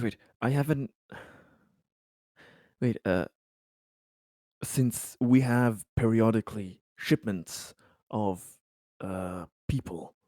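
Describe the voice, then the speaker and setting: Halting, lower-pitched man in game discussion. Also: no room sound, studio quality. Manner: halting, repeats words. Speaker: tabletop roleplaying game player.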